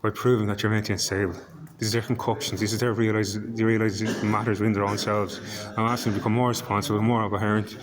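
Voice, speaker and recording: A man from Ireland with an Irish accent, husky and hoarse, rambling to camera in a vlog and stumbling as he repeats words while searching for them. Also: fairly low pitch, little pitch movement, fast, a crowd in the background. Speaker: vlogger.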